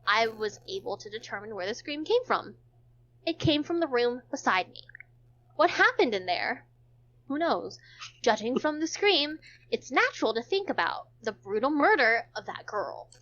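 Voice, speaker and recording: A woman's voice: fairly high pitch, very animated, normal speed, a bit bright, highs cut off. A woman reading visual novel text aloud for a stream, stumbling now and then and dropping in casual joking asides.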